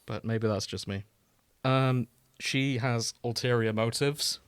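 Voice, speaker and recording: A man's voice: medium pitch, takes it slowly, smooth, no echo. A British man explaining things in a casual, wry, informal podcast chat, sometimes sweary. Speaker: podcast host explaining a video game.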